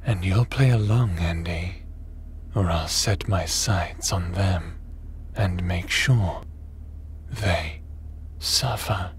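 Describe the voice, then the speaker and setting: A man's voice: sits low, a little hiss or hum. A man playing a smug, scheming, power-hungry villain in a sci-fi audio drama, with a taunting, gloating manner. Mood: smug, menacing. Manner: slow, taunting, gloating delivery. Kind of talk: sci-fi audio roleplay acting.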